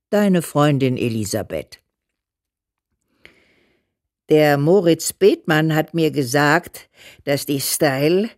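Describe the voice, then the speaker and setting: An affectionate old mother speaks slowly in a low voice, recorded close and dry. She is homely, familiar and a little wry, reading letters aloud in a German radio play. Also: a little mellow, dry recording. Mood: wry, chatty. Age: older.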